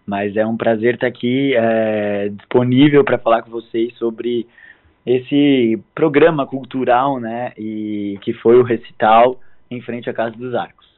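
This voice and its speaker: A hoarse man in his thirties from southern Brazil, a guest musician speaking politely and hesitantly in a phone interview. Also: mid-range voice, fairly even intonation, a little slow. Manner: hesitant, filler-heavy, polite. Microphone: phone line. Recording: tinny phone line.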